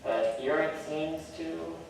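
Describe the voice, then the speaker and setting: A man's voice: fairly high pitch, slow pace, vocal fry. A man speaking informally as a panelist, sharing personal observations in a discussion. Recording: very echoey, distant mic, faint noise.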